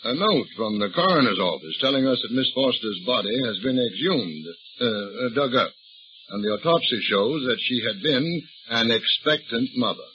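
A man's voice: mid-range voice, expressive, normal speed, breathy edge, highs cut off. An actor playing a folksy, patient small-town American lawman who hesitates and insinuates, in old-time radio drama style. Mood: in charge.

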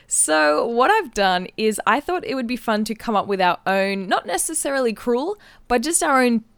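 Clear-voiced woman co-hosting a chatty podcast. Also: medium pitch, no room sound. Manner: chatty, giggly. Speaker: podcast co-host. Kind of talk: casual chat, explaining a game. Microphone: close podcast microphone.

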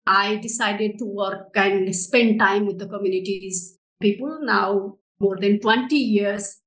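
A woman's voice: mid-range voice, unhurried, a bit bright, reverberant, low-quality recording. A middle-aged woman from Nepal with a Nepali accent and non-native English phrasing, giving earnest explanations as an interview guest. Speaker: interview guest, social enterprise director.

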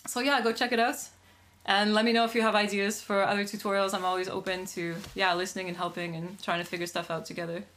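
Brief: young woman guest from Canada, relaxed, filler-heavy speech, mid pitch. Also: quick pace. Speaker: DJ and producer, podcast guest. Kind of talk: casual interview. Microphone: studio microphone.

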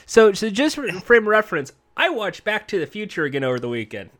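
A man joking with friends on a casual podcast. His voice sits high for a man and rises and falls a lot. He delivers his jokes in a mock-serious, deadpan way. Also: a little fast. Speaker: podcast co-host. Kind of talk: casual comedic banter.

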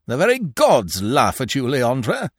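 A man's voice: mid-range voice, huge pitch swings, unhurried, breathy edge, no room sound, studio quality, full character voice. A man voicing a huge, pompous, angry bourgeois father in a storybook, declaiming with contempt. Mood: sarcastic.

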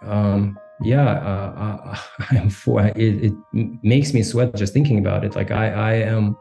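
A lowish, mellow-toned man from Kazakhstan talks things through on a podcast. He pauses and restarts his sentences often, checking in with a quick "right?". Faint music sits under his voice. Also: steady tone, creaky. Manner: halting, with many restarts and "right?". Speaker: podcast co-host. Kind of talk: candid conversational reflection.

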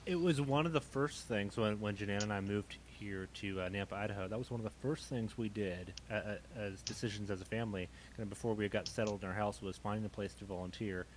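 A man's voice: fairly low pitch, fast, few pauses. A man hosting an interview in a casual, conversational way, often checking in with tag questions. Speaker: podcast host, interviewer. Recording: clearly noisy recording with clicks.

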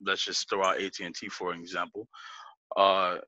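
A man's voice: sits low, level tone, fairly quick, pushed and bright, no room sound, dull audio. A man as an interview guest, laughing easily and explaining things step by step with many restarts. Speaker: business guest. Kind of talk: casual interview talk.

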